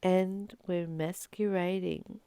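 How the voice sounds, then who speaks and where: A woman speaking as a spiritual teacher, reflecting informally on a reading in an earnest, expressive way with emphatic repetition. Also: fairly low pitch, slow, clean tone, dry recording. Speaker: spiritual teacher and channel host.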